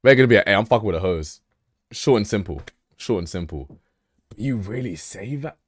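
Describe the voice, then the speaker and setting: A man reacting live to a video, with loud, mocking and profane banter. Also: on the low side, lively intonation, brisk, no echo. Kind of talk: casual live-stream commentary.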